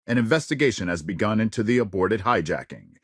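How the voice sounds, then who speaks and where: An actor playing a grave, polished sci-fi news anchor in a video game, reading scripted bulletins with authoritative, dramatic emphasis. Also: male voice, on the low side, animated, fast talker, husky, dry recording, clean studio sound. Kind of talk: scripted broadcast news delivery.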